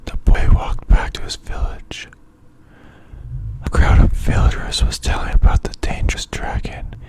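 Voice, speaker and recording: A man reading a children's bedtime story in ASMR style, in a soft, breathy, unvoiced whisper with a hushed delivery. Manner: slow, hushed, phrase-by-phrase delivery.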